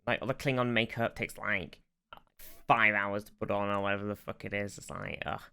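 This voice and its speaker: Male podcast co-host, very smooth resonant voice with bright edge, enthusiastic explainer in casual chat. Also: lowish voice, steady tone, moderate pace. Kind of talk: casual fan discussion.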